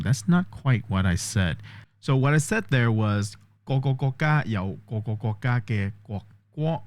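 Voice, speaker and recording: A man's voice: medium pitch, darkish tone, no room sound. A man vlogging a test of an AI voice assistant, explaining step by step and thinking aloud. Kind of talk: casual tech demo commentary.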